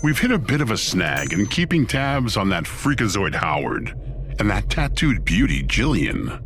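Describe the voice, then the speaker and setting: A man's voice: lowish voice, big pitch swings, moderate pace, a bit bright, a little hiss or hum. An actor playing Satan, a smug, sardonic and theatrical ruler of Hell who toys with his listeners in an audio drama. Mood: brisk, commanding. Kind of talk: scripted audio drama acting.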